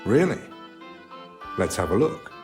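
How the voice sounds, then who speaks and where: An actor playing a learned, stern but caring medieval physician in a video game, clipped and authoritative. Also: male voice, on the low side, big pitch swings, measured pace, darkish tone, faint music behind. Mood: civil. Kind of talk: video game cutscene acting.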